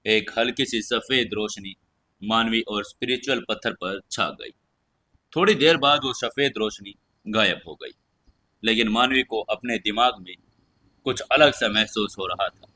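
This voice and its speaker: Bright-voiced man narrating an audio story. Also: lowish voice, measured pace. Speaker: storyteller and channel host.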